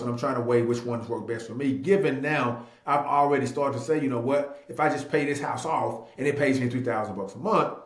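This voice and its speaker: Male, 30, casual interview guest, emphatic storyteller who repeats key phrases, mid-pitched, roomy echo. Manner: emphatic, repeats phrases, acts out quoted dialogue. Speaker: real estate agent and investor being interviewed. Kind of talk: animated personal storytelling in casual talk. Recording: echoey room.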